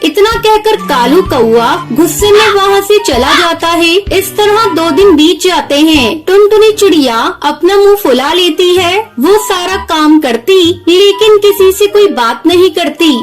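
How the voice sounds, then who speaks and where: Very high-pitched woman narrating a children's story. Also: expressive, measured pace, few pauses. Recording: music bed behind voice.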